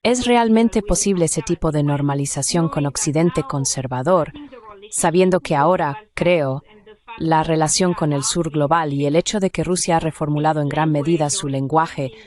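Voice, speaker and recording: A woman's voice: low voice, normal speed, a little mellow. A woman speaking as an academic expert guest in an interview, explaining things in an analytical, discursive way. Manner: analytical, discursive, with rhetorical check questions.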